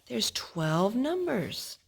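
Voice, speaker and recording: A woman's voice: on the high side, big pitch swings, extremely slow, creaky, a little hiss or hum. A woman hosting a storytime, reading a children's picture book aloud and explaining it to a young child. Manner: instructive, addressing a young child.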